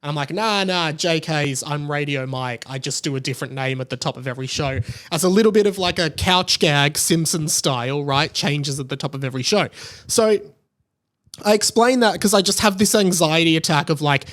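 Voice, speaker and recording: A man's voice: on the high side, a little fast, fairly bright tone. An Australian man hosting a solo podcast in a casual, rambling way, often interrupting himself and drifting into tangents. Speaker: podcast host.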